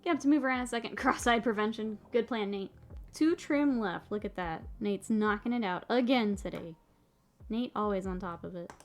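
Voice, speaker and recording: A woman's voice: mid-range voice, moderate pace. A woman in her thirties with a mixed northern US and Texas accent, chatting casually on a livestream while she paints miniatures, reading chat aloud and laughing often.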